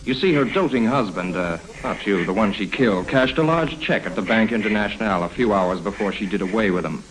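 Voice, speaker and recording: An actor playing a blunt, unruffled FBI agent in 1950s radio drama, dry, matter-of-fact and sardonic. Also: male voice, fairly low pitch, fairly quick, natural voice, poor audio. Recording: old 1950s radio recording.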